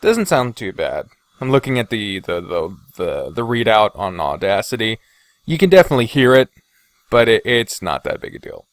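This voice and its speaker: Male podcast host, middle register with animated inflection; loose, digressive, self-mocking chat full of swearing, dry USB-mic recording. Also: on the low side, moderate pace, no room sound. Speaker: podcast host and video creator. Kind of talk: casual rambling solo podcast chat. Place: indoors in a bedroom. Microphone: Blue Snowball omnidirectional USB microphone.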